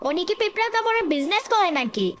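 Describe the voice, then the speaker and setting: A woman acts out a small, naive cartoon boy with a childlike, very high voice. The pitch swings freely as he questions the grown-ups. He sounds innocent, curious and a little stubborn. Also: big pitch swings, fast talker, fairly bright tone, heavily acted. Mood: worried, curious. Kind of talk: comic cartoon dub.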